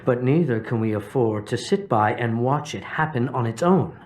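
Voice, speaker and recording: An actor playing a middle-aged political advocate in a video game, persuasive and lofty, with measured oratorical phrasing. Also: male voice, medium pitch, lively intonation, a little mellow, natural voice. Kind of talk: video game cutscene acting.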